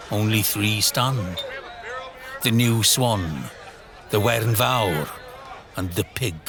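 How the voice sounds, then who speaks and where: A man narrating a horror audio drama in a brooding, theatrical way, in short halting phrases. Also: fairly low pitch, vocal fry, background noise. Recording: music bed under the voice. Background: music.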